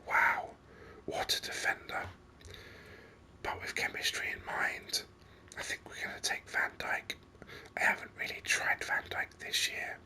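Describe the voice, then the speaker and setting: Whispering man doing ASMR game commentary. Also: bright, forceful tone. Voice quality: breathy unvoiced whisper. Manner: unhurried, musing aloud. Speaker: video game streamer.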